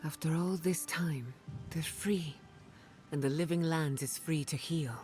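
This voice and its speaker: Imperial envoy: deep for a woman, measured and resolute, game dialogue. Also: mellow, soft background music. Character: calm, resolute female envoy of an empire. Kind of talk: video game cutscene dialogue.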